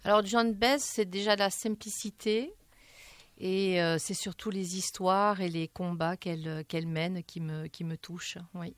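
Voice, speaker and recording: A woman with a lowish, smooth voice talks through her answers in a radio interview. She hesitates often and restarts her sentences. The studio sound is close and dry. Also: steady tone, no echo. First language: French. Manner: halting, many fillers and restarts. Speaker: singer, interview guest.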